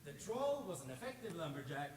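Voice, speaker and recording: A young man from Norway narrating a folktale live on stage in a theatrical, expressive way. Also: fairly high pitch, fairly quick. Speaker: storyteller. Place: stage in a large hall. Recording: echoey hall, distant mic, clear noise.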